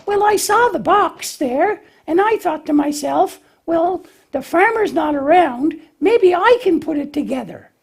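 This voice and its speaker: A man's voice: extremely high pitch, lively intonation, unhurried, gravelly, hardly any pauses, pitched above the performer's own voice, exaggerated voice. A man voicing a naive, eager, bumbling young lamb puppet in a children's show, with a hesitant, folksy, childlike way of explaining. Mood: puzzled.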